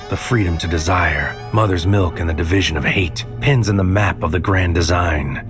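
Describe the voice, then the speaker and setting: A man performs a brooding, anxious male infiltrator in a sci-fi audio drama. The voice is very low, and the phrasing is terse, poetic and deliberate. Music plays under the narration. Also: close to an everyday voice. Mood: dark, foreboding. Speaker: narrator and lead character. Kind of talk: scripted first-person noir sci-fi audio drama narration.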